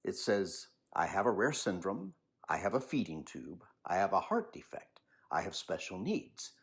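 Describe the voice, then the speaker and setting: An older man with a husky voice, speaking expressively and without hurry like a children's author telling a story. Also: deep voice, sing-song, moderate pace. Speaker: children's book author reading aloud. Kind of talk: personal commentary and storybook reading.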